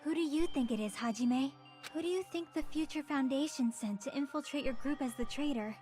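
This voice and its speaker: A high, youthful female voice over clear background music, a woman playing a gentle teenage girl in a video game. She speaks softly, with a calm, measured and pleading manner. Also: natural voice. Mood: calm insistence. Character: gentle, soft-spoken teenage girl revealing herself as traitor. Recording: music under the voice.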